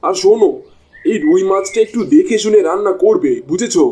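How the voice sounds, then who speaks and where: A man's voice: high-pitched, fairly quick, dark tone, close to an everyday voice. A man voicing an easily exasperated village husband in a cartoon, with an exclamatory, scolding way of talking. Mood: eager, bossy. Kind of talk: Bengali cartoon dialogue.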